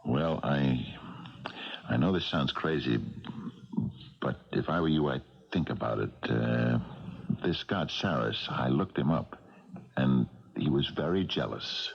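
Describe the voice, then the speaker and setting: An actor playing an earnest, halting retired policeman in a 1970s radio drama. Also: rumbling low, vocal fry, full character voice. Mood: earnest, hesitant. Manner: halting, deliberate, understated. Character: retired policeman, earnest, hesitant, worried and kindly. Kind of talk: 1970s radio drama acting with first-person narration. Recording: muffled old radio broadcast, music behind.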